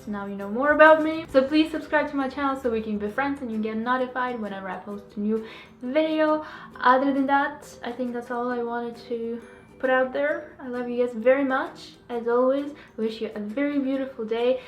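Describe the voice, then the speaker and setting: Cheerful Russian-accented woman, fairly high voice. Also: brisk, soft background music. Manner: cheerful, chatty, addresses viewers directly. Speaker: vegan food vlogger. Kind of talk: voice-over narrating cooking.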